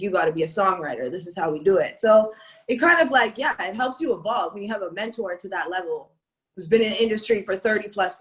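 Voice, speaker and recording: A young woman from Canada, a rapper, talks easily about her music in an interview. Her speech is loose and chatty, full of "like" and "um". Her voice sits in an ordinary middle pitch. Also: low-quality recording. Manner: chatty, lots of "like" and "um". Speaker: rapper guest. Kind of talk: casual interview chat.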